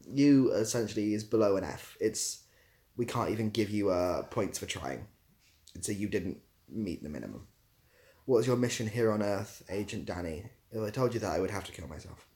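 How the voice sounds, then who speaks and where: A man's voice: fairly low pitch, expressive, moderate pace, darkish tone. A British-accented man chatting casually as a livestream host, answering viewers in a dry, easygoing, self-deprecating way. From Britain.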